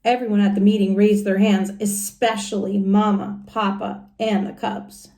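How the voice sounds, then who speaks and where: A woman with a medium-pitched voice reads a picture book aloud in a teacherly way. She breaks off into chatty, simple explanations and questions for her young listeners. The room adds a clear echo. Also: flat delivery, normal speed, warm tone. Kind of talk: expressive children's storybook read-aloud with chatty asides to young listeners.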